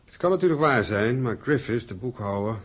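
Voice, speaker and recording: A man acting in a radio drama as an earnest, wistful, worried husband and heir, with a husky voice and brooding, expressive delivery. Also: medium pitch, a little fast. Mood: concerned.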